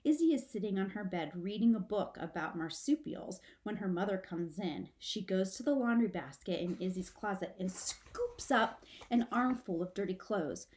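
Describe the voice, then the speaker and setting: A woman reading a children's book aloud like a teacher, with dramatic, expressive shifts of emphasis. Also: mid-range voice, moderate pace, few pauses. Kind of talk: expressive storybook read-aloud.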